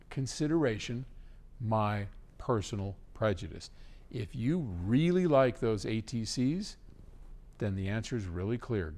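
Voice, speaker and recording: A man's voice: on the low side, a little mellow. A man hosting a question-and-answer talk, speaking in an informal, conversational way as he gives advice. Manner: conversational, informal, with frequent throat clearing. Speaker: host answering listener questions.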